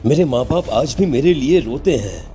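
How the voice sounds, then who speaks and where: A man's voice: mid-range voice, animated, moderate pace, mellow, a little hiss or hum, natural voice. A man playing the sorrowful, reproachful ghost of a teenage boy in a cartoon horror story. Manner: mournful, reproachful.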